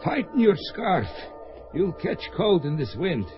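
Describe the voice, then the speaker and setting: A man's voice: sits high, sing-song, measured pace, loud music behind the voice. An actor playing a worried elderly Russian father in a radio drama, hesitant and stammering, on a dull lo-fi recording. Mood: fretful. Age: older. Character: worried old Russian father, anxious and protective. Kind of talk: old-time radio drama acting.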